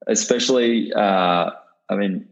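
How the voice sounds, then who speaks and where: A man hosting a casual podcast interview, with a fairly low voice. He sounds eager and curious. He often stumbles and restarts his sentences with fillers. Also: gravelly. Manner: enthusiastic, halting with fillers and restarts. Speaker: podcast host.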